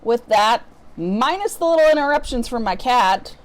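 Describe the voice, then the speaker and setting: A woman's voice: a little high, animated, moderate pace. A woman from the US Midwest chatting casually as a book vlogger, rambling and breaking off her own sentences as she goes. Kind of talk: casual unboxing vlog chat.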